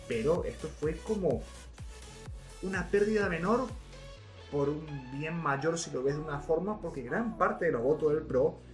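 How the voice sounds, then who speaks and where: A man's voice: on the high side, expressive, a little slow. A man with an Argentine accent giving colloquial, opinionated political commentary as co-host of a podcast. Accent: Argentine Spanish. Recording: music playing behind. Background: background music.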